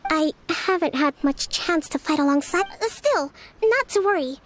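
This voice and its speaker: A woman playing a composed, calculating young military strategist in a video game, speaking in a measured, articulate and polite way. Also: high-pitched, animated, a little hiss or hum. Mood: composed, thoughtful. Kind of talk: scripted video game voice acting. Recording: music behind the voice.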